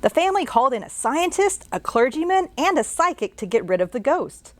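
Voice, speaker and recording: A woman's voice: high voice, very animated, a little fast, no echo. A children's librarian, a woman, reading a picture book aloud and asking young listeners questions along the way.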